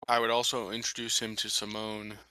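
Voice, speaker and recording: A man playing a tabletop roleplaying game, haltingly narrating what his character says. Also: lowish voice, little pitch movement, pushed and bright, dry recording, poor audio. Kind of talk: casual chat, narrating his character's lines.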